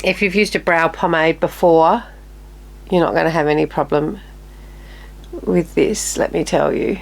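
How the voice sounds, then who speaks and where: A woman in her fifties with a fairly low voice that is still a little nasal, talking through her makeup routine. She chats casually and drops into quiet, murmured asides while she works. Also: steady tone, a little slow, creaky. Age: 50s. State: slightly nasal, recovering from illness. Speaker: beauty vlogger. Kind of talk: casual makeup tutorial chat.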